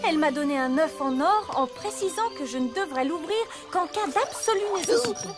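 A gentle young fairy-tale heroine, very high in pitch. She speaks earnestly and tenderly in cartoon style, over clear background music. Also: animated, brisk. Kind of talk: French cartoon dub acting.

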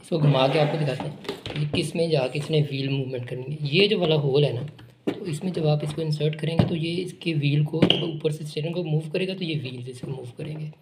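A man casually explaining, step by step, how to unbox and assemble a product. He talks in a relaxed, repetitive way while working with his hands. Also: on the high side, a little fast. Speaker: online shop product demonstrator. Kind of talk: unscripted unboxing and assembly walkthrough. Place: indoor room with a table. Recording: echoey room with noticeable background noise.

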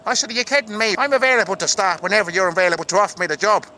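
A man's voice: high-pitched, racing, bright, forceful tone, a little hiss or hum. A man playing an eager, proud Irish actor with an Irish accent, recounting auditions in a vlog monologue. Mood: animated, proud. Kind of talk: vlog monologue with acted dialogue.

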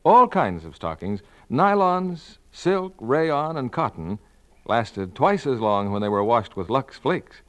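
A man's voice: medium pitch, big pitch swings, slow pace, a bit bright. A man reading a scripted 1940s radio commercial as the announcer.

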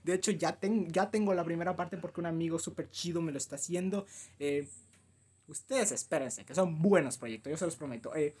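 A man's voice: a little high, fairly quick, darkish tone, slightly rough. A young man with a Latin American accent giving casual, slangy, playfully dramatic reaction commentary. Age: young adult. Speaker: gaming channel host reacting to a video. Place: small room at home. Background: music.

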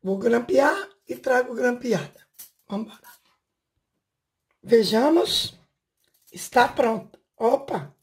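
Chatty lady in her 50s talking through a craft at home, kinda high voice, asks the viewer stuff while her hands work. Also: lively intonation, takes it slowly, long pauses, darkish tone. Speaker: craft channel host. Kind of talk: casual hands-on tutorial. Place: home room, indoors.

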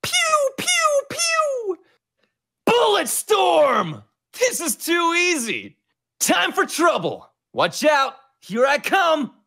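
High-voiced vain pretty-boy gunslinger. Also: huge pitch swings, drawn-out words, gravelly, sharp tone, full character voice. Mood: excited. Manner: cocky, showy, dramatic. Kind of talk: video game character lines.

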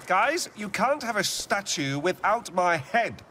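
A man's voice: sits high, sing-song, unhurried, a little hiss or hum, full character voice. A man acting a flamboyant, volatile middle-aged tyrant king in a video game, theatrical and mocking, swinging from silky to explosive. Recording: loud game ambience behind voice.

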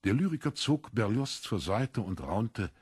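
A male narrator reads literary prose for an audio drama. His voice is fairly low and husky, recorded dry and close. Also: big pitch swings, a little slow, a little mellow, no echo.

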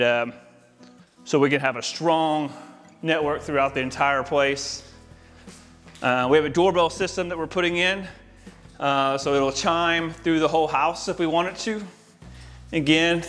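A man's voice: a little high, fairly bright tone. An American man in his forties giving an enthusiastic, informal walk-through to camera as a home installer. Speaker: home audio-video installer giving a tour. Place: inside a large, mostly empty house.

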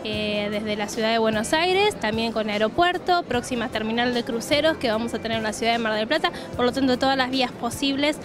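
A woman's voice: fairly high pitch, fairly quick, hardly any pauses, music in the background. A woman in her thirties with an Argentine accent, a city tourism official answering interview questions fluently at a trade fair stand, with many "eh" fillers, into a handheld mic. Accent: Argentine Rioplatense Spanish. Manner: fluent promotional listing, frequent "eh" fillers. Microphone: handheld reporter mic.

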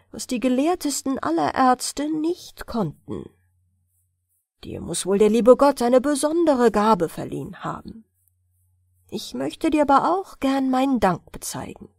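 A woman's voice: a little high, big pitch swings, a little slow, creaky, darkish tone, no room sound, full character voice. Woman doing a kind grateful fairy tale emperor, formal, lilting, dry sound. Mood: grateful, gracious. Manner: gracious, formal storybook speech. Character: kindly, grateful emperor, a grown man.

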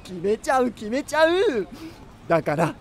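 A man acting as a smitten, lecherous showbiz bigwig, wheedling in drawn-out baby talk in a voice drama. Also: very high-pitched, sing-song, heavily acted. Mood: lovey-dovey. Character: smitten, lecherous male casting bigwig cooing at his date.

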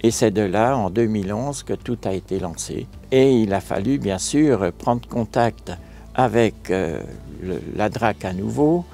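Older man, low mellow voice, formal. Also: gravelly. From France. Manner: measured, formal, a few fillers. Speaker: mayor. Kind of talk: interview answers, measured account. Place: outdoors on a stone chapel tower balcony.

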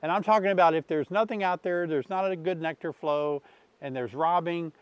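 A man's voice: on the high side, moderate pace, smooth, no room sound, highs cut off. Friendly older man explaining outdoors. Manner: friendly, explanatory, thinking aloud with fillers. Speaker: beekeeping channel host. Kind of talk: casual instructional vlog. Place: outdoors beside beehives. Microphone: lapel mic.

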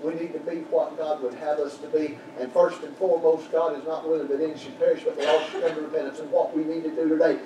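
An older man preaching a sermon in a small church, building to shouted peaks and dropping to quiet prayer. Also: fairly high pitch, little pitch movement, fast, hardly any pauses. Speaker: pastor. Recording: roomy church echo from far off, background noise.

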